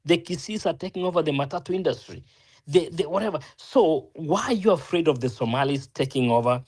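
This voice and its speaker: A Kenyan man arguing his point in a discussion, earnest, often restarting and correcting himself, rising as he presses a point. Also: mid-range voice. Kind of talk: opinionated discussion.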